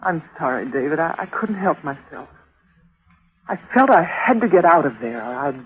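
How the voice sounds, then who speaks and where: A woman's voice: low-pitched, very animated, normal speed, noisy background. An actress playing a haunted, frightened young woman in anguished, breathless 1940s radio drama style. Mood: troubled. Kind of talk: 1940s radio drama acting, with first-person narration. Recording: tinny band-limited old radio recording, music.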